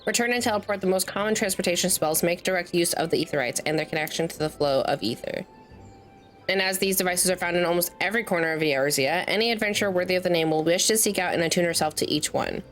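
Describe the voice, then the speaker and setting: A woman's voice: lowish voice, fast talker. A woman doing casual let's play commentary, chatty and playful, often breaking off mid-sentence and reading game text aloud. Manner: chatty, self-interrupting, playful asides while snacking; reads game dialogue aloud, stumbling over fantasy names. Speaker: streamer, gamer. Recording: game music behind the voice. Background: video game music.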